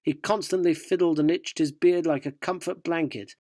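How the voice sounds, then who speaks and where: Wry, high-pitched male journalist narrator, acted. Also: steady tone, moderate pace, darkish tone, no echo, clean studio sound, close to an everyday voice. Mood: wry narrating. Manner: wry, provocative. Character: cheeky, cynical yuppie male journalist narrating his scoop. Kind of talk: radio drama narration and dialogue.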